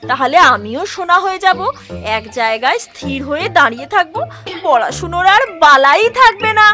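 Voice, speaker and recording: A woman's voice: high voice, expressive, a little fast. A woman voicing a cheeky, street-smart schoolchild in a children's cartoon, talking in a slangy, bossy way. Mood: eager. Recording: music playing behind the voice.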